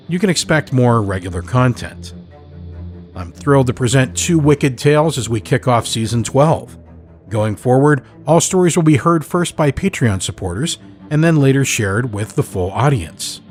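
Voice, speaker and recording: A man hosting a horror podcast, reading a scripted introduction with measured, theatrical phrasing. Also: medium pitch. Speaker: podcast host. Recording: music behind the voice.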